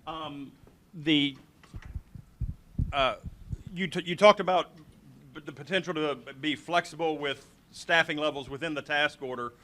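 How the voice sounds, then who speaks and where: A man in the audience asking questions at an industry briefing, halting with many restarts and fillers. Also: a little high, sharp tone, a little hiss or hum.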